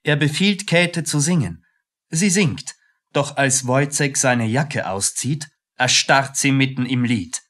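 Narrator for radio drama: male, medium pitch, scripted dramatic storytelling. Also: lively intonation, slow, a bit bright.

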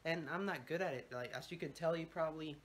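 A man's voice: on the high side, fast, a bit bright, slight background noise. A young man with a Mexican Spanish accent, whose first language is Spanish, rambles casually in a vlog. He swears offhandedly and drops in Spanish words while holding his phone at arm's length at home. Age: young adult. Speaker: vlogger. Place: home bathroom and bedroom. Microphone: phone held at arm's length.

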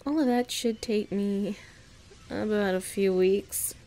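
A woman acting a clumsy, anxious young woman in an audio roleplay, murmuring and thinking aloud in a halting, breathy way. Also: medium pitch, fairly even intonation, mellow. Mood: reasoning, planning.

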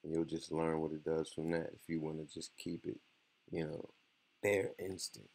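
A man giving a casual software tutorial in a laid-back, slangy way. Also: a bass voice, level tone, moderate pace, gravelly. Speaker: music production tutorial host.

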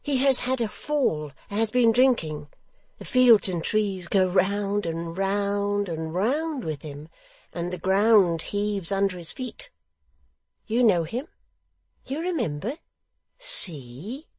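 A woman voicing an eager, simple-minded young man with childlike trust in an audiobook, in an excitable, wondering singsong. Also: mid-range voice, slow, no room sound, voice pushed up. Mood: earnest, proud. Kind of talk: audiobook character acting.

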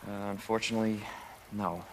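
An actor playing an earnest, concerned young rookie police officer in a video game cutscene. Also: male voice, fairly low pitch, steady tone, a little fast, lightly acted, rough recording. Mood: warm, reassuring. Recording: clear background noise, game ambience.

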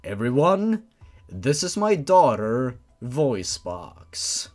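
A man voicing a towering faceless monster father in a storybook reading, formal, stern and paternal, with a deep tone. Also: sing-song, slow pace, darkish tone, faint music behind. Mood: solemn, tender.

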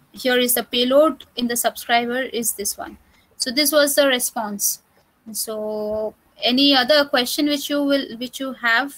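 A woman presents a software demo in an online meeting. Her voice is high and recorded dry, with little room sound. She explains things off the cuff, thinking aloud as she works. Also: no room sound, low-quality recording. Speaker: presenter at a developer meetup.

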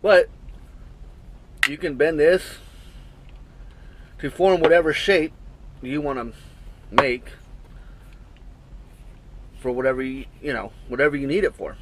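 Chatty trucker rambling through an unboxing. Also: male voice, fairly high pitch, takes it slowly, pauses often, noisy background. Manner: rambling, chatty, thinks aloud with many fillers. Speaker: trucker reviewing gear. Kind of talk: casual unboxing review. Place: small truck sleeper cab, indoors. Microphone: action camera on the cab wall, built-in mic.

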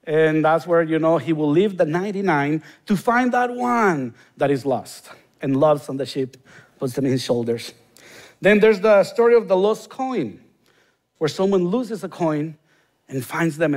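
A man in his forties with a Honduran Spanish accent preaches to a congregation from a church stage, speaking into a body mic. His voice sits somewhat high for a man, and he turns emphatic on his key points. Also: darkish tone. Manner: earnest, rising to emphatic bursts on key points. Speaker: guest pastor. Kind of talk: sermon with prayer. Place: large church stage, indoors.

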